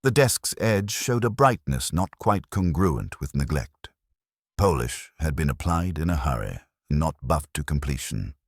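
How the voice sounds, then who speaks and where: A man narrating a literary mystery from a script in a measured, dryly wry manner. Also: a bass voice, breathy edge, dry recording, studio quality.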